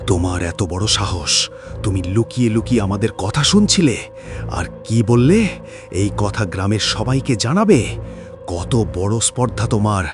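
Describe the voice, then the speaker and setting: A man's voice: on the low side, big pitch swings, a little slow, music in the background. A man voicing a cruel, haughty village landlord in an audio horror story, threatening and imperious. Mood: angry, commanding.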